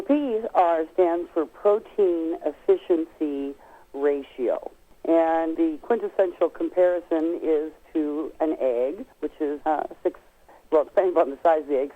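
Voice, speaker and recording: A woman guest expert on a telephone, giving explanatory answers in an emphatic, folksy way and stretching key words. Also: sits low. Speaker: guest expert on nutrition products. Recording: tinny narrow-band telephone line.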